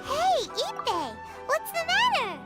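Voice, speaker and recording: A woman's voice: extremely high pitch, wildly swooping pitch, slow pace, over loud music, exaggerated voice. A woman voicing a tiny, chirpy, childlike fairy companion in a video game. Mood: bright, eager. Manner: chirpy, childlike, refers to self by name. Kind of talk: video game character acting.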